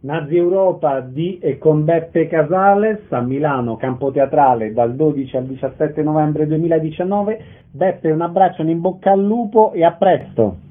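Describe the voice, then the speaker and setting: A man's voice: fairly high pitch, normal speed. A man hosting a radio interview, reading introductions and putting short prepared questions to guests. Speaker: radio host. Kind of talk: scripted radio interview presenting. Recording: narrow, tinny radio sound.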